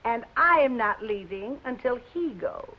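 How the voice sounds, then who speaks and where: A woman's voice: on the high side, animated, a little slow, bright, forceful tone, exaggerated voice. An actress playing a stern, indignant old landlady, scolding and unyielding, in a 1950s film noir. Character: stern, indignant old landlady guarding her respectable house. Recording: muffled old film soundtrack.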